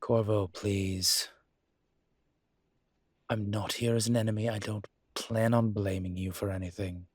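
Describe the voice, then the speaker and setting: A weary incubus demon , played by a man, speaks slowly in a deep-leaning, husky voice, recorded dry and close. He is earnest and diplomatic, imploring in halting phrases with dry asides, as in a dramatic audio roleplay. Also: level tone, darkish tone, no room sound. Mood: persuasive.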